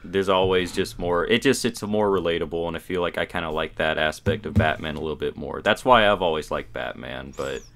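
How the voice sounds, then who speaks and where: A man's voice: sits low, brisk, vocal fry, hardly any pauses, a bit bright, a little hiss or hum. A man co-hosting a podcast, giving his opinions as a fan in a casual, tentative way. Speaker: podcast co-host.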